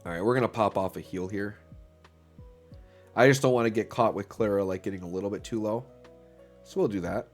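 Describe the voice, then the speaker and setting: A man's voice: fairly low pitch, fairly quick, pauses often, soft background music. Stuffy-voiced man narrating gameplay. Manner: upbeat, filler-heavy, talks while playing. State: slightly stuffy from an illness. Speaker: gaming channel host. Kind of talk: casual gameplay commentary.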